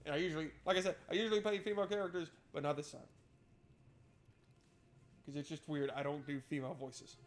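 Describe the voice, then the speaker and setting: A man's voice: on the high side, steady tone, fast, pauses often. A man streaming a game, switching between reading narration aloud and casual, irreverent, sweary joking commentary.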